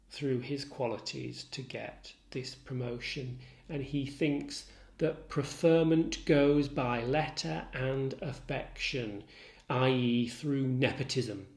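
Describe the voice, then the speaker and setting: A man lecturing as a literature tutor, measured, articulate and instructive in his delivery. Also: medium pitch. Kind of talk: literature lecture with recited quotations. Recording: roomy echo.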